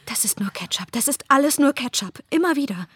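A woman's voice: high-pitched, lively intonation, brisk, no room sound. An actress playing a frazzled mother telling her story in a wry, self-justifying monologue in a radio play. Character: frazzled middle-class mother.

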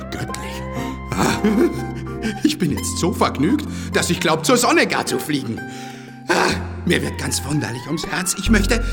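An actor playing a cowardly, jolly, simple bird-catcher in a radio play, excitable and full of exclamations. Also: male voice, sits high, heavily acted. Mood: cheerful, merry. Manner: comic, excitable, exclaiming and chuckling. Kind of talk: German radio play acting. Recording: loud music under the voice.

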